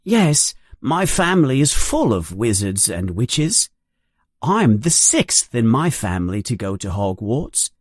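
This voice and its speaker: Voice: medium pitch, very animated, takes it slowly, no echo, clean studio sound. A narrator voicing an eager, curious, self-conscious eleven-year-old wizard boy in a storybook.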